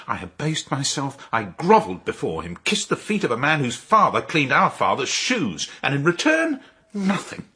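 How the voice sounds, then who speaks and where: An actor playing an embittered, proud, middle-aged count fallen on hard times, indignant and declamatory, in a radio drama. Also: male voice, medium pitch, wildly swooping pitch, moderate pace, sharp tone, slight background noise. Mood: wounded indignation.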